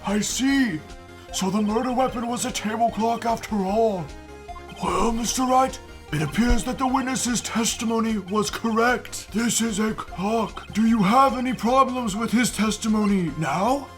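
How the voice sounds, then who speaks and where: A man voicing an elderly, stern and dignified judge in a video game fan dub, speaking formally and with measured pomp. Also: very high-pitched. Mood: solemn. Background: game music.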